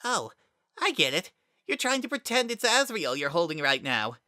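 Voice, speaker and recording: Bitter child spirit for a dramatic audiobook: high, strained, taunting, close to breaking. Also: sing-song, moderate pace, bright, forceful tone, dry recording. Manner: taunting and wounded. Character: bitter, mocking, anguished lost child spirit.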